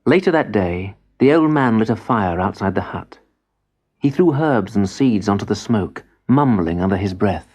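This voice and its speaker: A man's voice: lowish voice, lively intonation, normal speed, a little mellow, slightly rough. A man narrating a children's story expressively, on an old cassette transfer. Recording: dull cassette transfer with music behind. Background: instrumental music.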